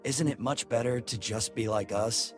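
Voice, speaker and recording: A man's voice: mid-range voice, normal speed, over music, lightly acted. A man voicing a smug, eerily persuasive conformist stranger in game dialogue, coaxing and condescending. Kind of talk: game dialogue read aloud on stream.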